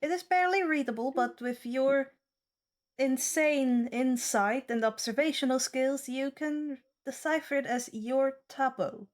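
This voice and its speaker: High-voiced, slow-paced woman game master. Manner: deliberate, pausing between phrases. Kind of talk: improvised tabletop game narration.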